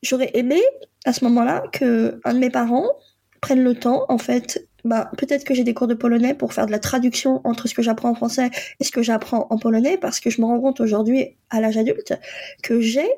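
A Polish-born woman in her forties who is a coach, telling her own story in a halting, reflective way with frequent pauses. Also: fairly high pitch, steady tone, vocal fry, warm tone. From Poland. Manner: halting, many pauses and fillers. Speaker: guest, coach and psychotherapist. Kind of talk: reflective personal testimony.

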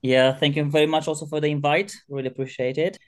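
A man with a Romanian accent, a guest chatting casually in an interview, friendly and halting, repeating words as he finds them. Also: a little high, fairly even intonation. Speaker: guest, groomer and grooming school owner.

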